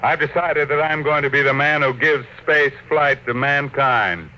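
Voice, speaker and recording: An actor playing a cold, domineering business tycoon in an old-time radio drama, curt and commanding. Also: male voice, a little high, normal speed, sharp tone, low-quality recording.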